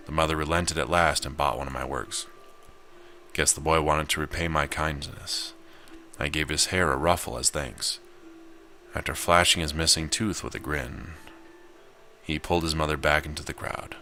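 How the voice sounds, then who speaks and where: A man's voice: a bass voice, steady tone, moderate pace, pushed and bright, slight background noise. A man reading a visual novel aloud and giving casual commentary as he plays. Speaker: video game player and narrator.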